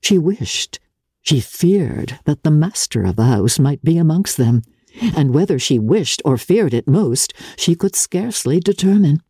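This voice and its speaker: Husky, expressive woman narrating a classic novel. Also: low-pitched, no room sound, clean studio sound. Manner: measured, formal, expressive storytelling. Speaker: audiobook narrator. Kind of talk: dramatic reading of classic literature.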